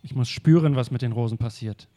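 A man's voice: mid-range voice, fairly quick, dark tone, natural voice. A man voicing a rich, eccentric male art collector who talks in an indignant, self-assured way.

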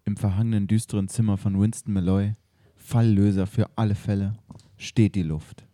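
A man's voice: lowish voice, steady tone, moderate pace, warm tone. A man narrating an improvised radio play in a dramatic, playful way.

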